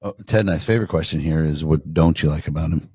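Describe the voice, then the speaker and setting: Deep-voiced guy hosting a podcast, rambly relaxed questions, dry close sound. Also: brisk, slightly rough, dry recording. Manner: conversational, loose rambling questions. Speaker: podcast co-host. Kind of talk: casual podcast interview.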